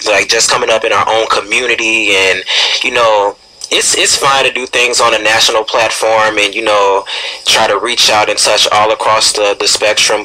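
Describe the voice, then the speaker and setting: Young American man, radio co-host, lowish pitch, sharp bright voice, halting casual delivery, faint noise. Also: rough recording. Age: young adult. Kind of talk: casual radio interview hosting.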